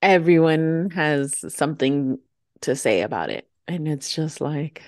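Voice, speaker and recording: A woman's voice: deep voice, expressive, unhurried, darkish tone, dry recording. Young woman rambling on a podcast, dry sound. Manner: rambling, heavy use of "like". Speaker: podcast co-host. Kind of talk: casual chat.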